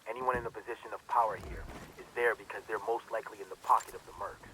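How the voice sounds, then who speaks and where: Wry intel guide over noise. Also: male voice, lowish voice, fairly even intonation, fast talker, pushed and bright, low-quality recording. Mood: grim, cautioning. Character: wry, hard-bitten male field intelligence guide. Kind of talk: naturalistic video game briefing.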